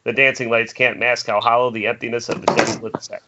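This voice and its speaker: A man's voice: mid-range voice, little pitch movement, quick pace, sharp tone. A man reading stage directions as narrator at an amateur table read over an internet call. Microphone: remote call from home. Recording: muffled internet call audio.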